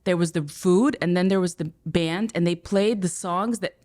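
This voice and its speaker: A middle-aged woman from the former Soviet Union hosts a casual podcast talk. She speaks in a slightly low voice, pausing and restarting her sentences often. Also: darkish tone. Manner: hesitant, many fillers and restarts. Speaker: podcast host and teacher.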